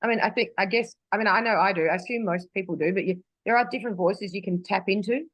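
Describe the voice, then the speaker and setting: A woman's voice: mid-range voice, fast talker, no room sound. Australian woman singer, dry close sound. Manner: chatty, rambling with restarts and fillers. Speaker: singer guest. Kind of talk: casual interview chat. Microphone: video call.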